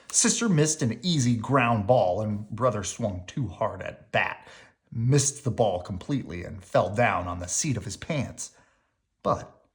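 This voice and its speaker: A reader narrating a children's picture book aloud in an expressive storytelling style. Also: mid-range voice.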